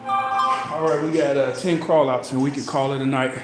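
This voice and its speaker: A man's voice: mid-range voice, flat delivery, moderate pace, fairly bright tone, faint music behind. Winded Missouri man, 40s, counting reps and hyping himself up, far from the mic. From Midwest, Missouri. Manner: self-motivating, counting aloud, urging himself on. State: out of breath from exercise. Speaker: fitness livestreamer. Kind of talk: casual workout livestream chat, counting reps. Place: home workout space at night. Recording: echoey room, mic far off, noisy background.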